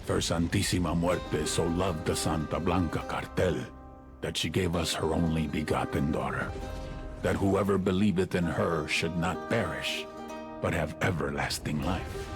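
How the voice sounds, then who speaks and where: A grave cartel zealot delivers a reverent sermon in a game. The voice is very deep and gravelly, moving slowly and deliberately over prominent music. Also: male voice. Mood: reverent, solemn. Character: solemn cartel preacher exalting his queen like a saint. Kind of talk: slow sermon-like dramatic monologue. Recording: music behind the voice.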